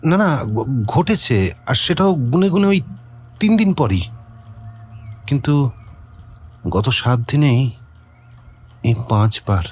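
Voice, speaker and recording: An actor playing a nervous, talkative gentleman in an audio drama, hesitant and rambling. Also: mid-range voice, sing-song, slow pace, pauses often, slightly rough, full character voice. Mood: nervous, insistent. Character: nervous, talkative middle-class Bengali gentleman with a mystery.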